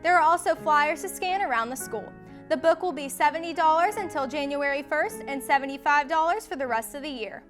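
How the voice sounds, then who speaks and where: High-voiced teen girl news anchor. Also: fairly quick, music in the background. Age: teens. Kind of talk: scripted school newscast reading. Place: school studio.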